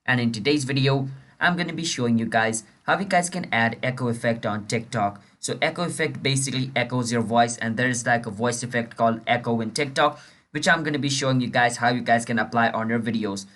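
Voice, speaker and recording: A man's voice: mid-range voice, fairly quick, fairly bright tone. Man hosting a casual how-to tutorial. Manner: chatty, informal, addresses viewers directly. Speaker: tutorial channel host. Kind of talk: casual screen-recording tutorial narration.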